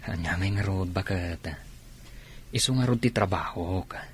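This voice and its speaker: An actor with a northern Philippine accent playing a calm, soft-spoken soldier husband in a radio drama. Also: male voice, low voice, moderate pace, a little hiss or hum, lightly acted. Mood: reassuring.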